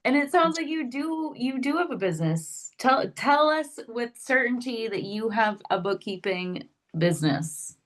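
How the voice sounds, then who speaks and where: A woman's voice: on the high side, expressive, unhurried, hardly any pauses. A woman hosting a networking video call, upbeat and encouraging. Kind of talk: casual group chat.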